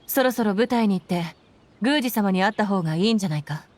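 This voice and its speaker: A woman's voice: medium pitch, animated, very fast, darkish tone, natural voice. A woman playing a stern, dutiful military commander in a video game, formal, clipped and earnest. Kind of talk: Japanese video game voice acting. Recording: faint music behind.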